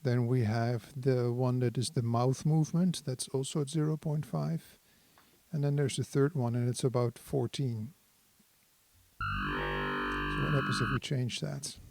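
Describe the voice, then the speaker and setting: A man giving a casual hands-on tutorial as a synthesizer demonstrator, thinking aloud in an unhurried, explanatory way. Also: mid-range voice, expressive, vocal fry, over music.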